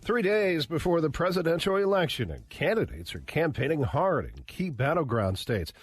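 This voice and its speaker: A man's voice: on the high side, very animated, moderate pace, husky. A man anchoring a radio newscast with a punchy, scripted delivery. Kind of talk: scripted news reading.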